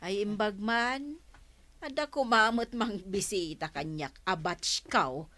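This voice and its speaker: An actress playing a chatty village store owner who speaks slowly and teases her customers. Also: mid-range voice, pushed and bright, no room sound. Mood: bantering. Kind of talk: Ilocano radio drama acting.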